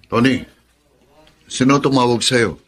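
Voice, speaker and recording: A man's voice: medium pitch, steady tone, unhurried, fairly bright tone, slightly rough. A man playing a concerned friend in a radio drama, inquisitive and sympathetic in his talk. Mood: concerned, surprised. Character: concerned, questioning male best buddy.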